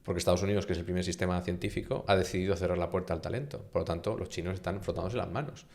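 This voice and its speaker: A middle-aged man from Spain with a Castilian accent, a university vice-rector speaking as an interview guest, emphatic and animated, often posing rhetorical questions. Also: sits low, very fast, vocal fry. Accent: Castilian Spanish. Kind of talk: conversational interview.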